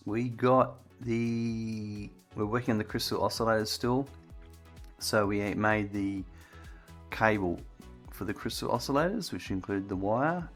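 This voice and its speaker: A man streaming a building game, chatting casually and thinking aloud as he works, with his voice getting strained. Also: fairly low pitch. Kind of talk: casual gameplay commentary.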